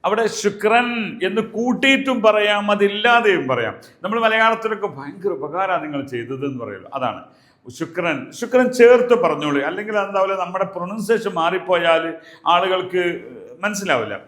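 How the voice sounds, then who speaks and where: A man with a Malayali accent giving a spoken language lesson as a teacher, explaining and deliberately repeating example phrases. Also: high voice, expressive, a bit bright. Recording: echoey room.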